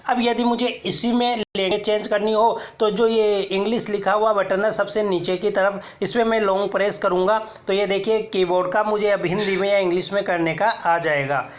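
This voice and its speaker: A man. He goes one step at a time, pausing to point things out and telling viewers to look here. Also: high-pitched, steady tone. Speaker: tech tutorial presenter. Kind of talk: screen-recorded how-to explanation.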